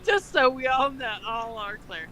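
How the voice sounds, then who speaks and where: Higher-pitched female co-host for a mock dramatic reading, mocking and incredulous, happy to swear between lines. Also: lively intonation, normal speed, sharp tone. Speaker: co-host reading fan fiction aloud.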